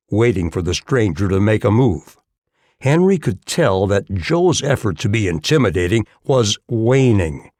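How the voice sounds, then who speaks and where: A man narrates a western audiobook. His mid-pitched voice moves with lively intonation through the scripted prose. The sound is dry and free of room echo.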